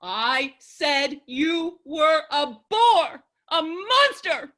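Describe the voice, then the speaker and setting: An actress plays an indignant widow in a theatrical comedy. Her voice is extremely high and swings widely in pitch. She draws her words out slowly, melodramatic and imperious. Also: big pitch swings, a bit bright, heavily acted. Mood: outraged. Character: indignant, fiery, haughty widow quarrelling theatrically. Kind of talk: theatrical staged reading of a classic farce. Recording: home recording over video call.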